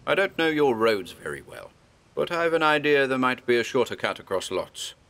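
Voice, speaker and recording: A man's voice: mid-range voice, big pitch swings, normal speed, fairly bright tone, pitched above the performer's own voice. A man voicing an old scholar who urges a search party on with an urgent, commanding manner.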